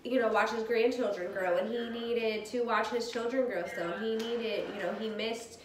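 A woman in her thirties with a stuffy cold, talking a little loud and candidly to camera in her dining room. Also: fairly high pitch, steady tone, moderate pace, roomy sound, slight background noise, poor audio. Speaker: vlogger. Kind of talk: personal vlog talk. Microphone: camera across the room.

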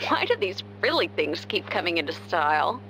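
A woman's voice: fairly low pitch, sing-song, moderate pace, bright, forceful tone, slight background noise, rough recording. A woman voicing a brash, hot-tempered, vain young tomboy in a video game, with a blunt, brassy manner. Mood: smug, musing. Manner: brassy, blunt, talking to herself or scolding.

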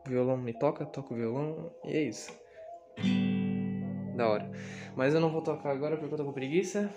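A man's voice: fairly high pitch, fairly quick, highs cut off, loud music behind the voice. A young man with a Brazilian Portuguese accent, rambling casually and playfully in a self-deprecating way, as in an informal vlog. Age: young adult. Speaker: YouTuber. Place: small bedroom, indoors. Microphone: handheld camera or phone. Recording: noisy handheld camera audio with clicks.

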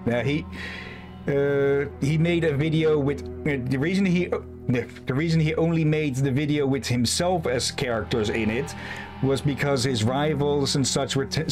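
A man streaming a game, chatting casually and chuckling as he tells stories. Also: mid-range voice. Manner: relaxed, chuckling storyteller. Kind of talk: casual gameplay commentary. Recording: game music behind.

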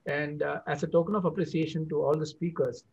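Middle-aged man, webinar host, upbeat announcing, slightly high voice, muffled call audio. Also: flat delivery, fairly quick, creaky, a little mellow, slightly rough. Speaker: event host. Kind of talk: webinar moderating. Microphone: video call. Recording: dull video call audio.

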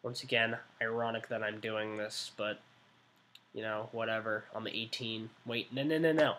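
A man's voice: fairly low pitch, steady tone, moderate pace, pushed and bright, a little hiss or hum. A nineteen-year-old man chats loosely into a desk webcam in a small indoor room. He rambles, restarts his sentences and leans on fillers as he talks. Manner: rambling, self-correcting, many fillers. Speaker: art vlogger. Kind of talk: casual talk to webcam.

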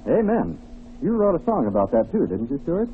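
A man's voice: a little high, huge pitch swings, brisk. A man speaking as a sidekick in old-time radio show patter. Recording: noisy, narrow-band old radio recording.

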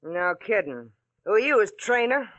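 An actress playing a tough, sardonic middle-aged woman who runs a rough barroom, brassy and bossy in 1940s radio drama style. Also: medium pitch, sing-song, normal speed, a bit bright, lo-fi sound. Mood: dry, wry. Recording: old radio recording, crowd noise behind.

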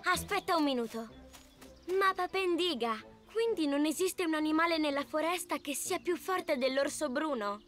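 A woman's voice: high-pitched, moderate pace. A woman voicing a brave, earnest young leopard cub in a children's cartoon. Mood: curious. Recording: loud music behind.

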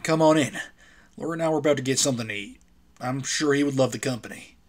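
A man's voice: medium pitch, fast, background noise, natural voice. A man playing an earnest, protective father in a survival comic dub drama. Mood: tender. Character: protective father, survivor of apocalypse, firm yet tender.

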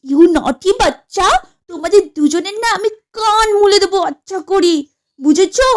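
A woman's voice: extremely high pitch, moderate pace. A woman voicing a snooty, hot-tempered lady in a comedy cartoon, scolding haughtily and throwing in English words. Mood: angry, scolding. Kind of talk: exaggerated comedy cartoon acting.

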